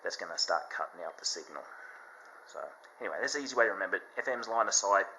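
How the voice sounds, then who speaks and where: A man with a very deep but bright, forward voice talks through a product demonstration. His delivery is casual and unscripted, explaining in a halting manner as he goes. There is faint noise behind him. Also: animated, rough recording. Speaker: product reviewer.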